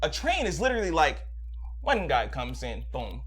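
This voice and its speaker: Loud, lively man teasing friends. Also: on the high side, normal speed, breathy edge. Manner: joking, teasing, laughs often. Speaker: podcast co-host. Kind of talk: casual group chat.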